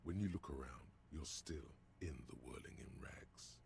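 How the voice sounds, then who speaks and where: A very deep, gravelly game narrator. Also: male voice, darkish tone, a little hiss or hum. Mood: ominous. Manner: slow, measured, descriptive.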